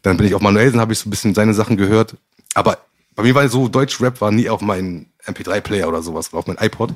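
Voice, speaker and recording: Slangy man from Gambia, low voice. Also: fast. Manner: slangy, rambling storytelling with fillers. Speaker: podcast guest. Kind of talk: casual chat.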